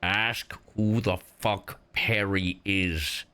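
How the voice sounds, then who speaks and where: A man livestreaming casual game commentary, excitable and reactive, swearing freely. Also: low-pitched, extremely slow, clean tone.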